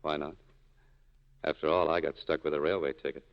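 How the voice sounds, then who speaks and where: An actor playing a cynical, bitter saloon keeper in a terse, sardonic 1940s radio drama style. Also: male voice, rumbling low, flat delivery, brisk. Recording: dull lo-fi vintage radio broadcast.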